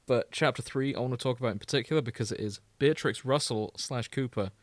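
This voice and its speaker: A man's voice: mid-range voice, fast, no echo. Man bantering, close dry sound. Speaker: podcast host. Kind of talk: casual banter about fan fiction, reading excerpts aloud.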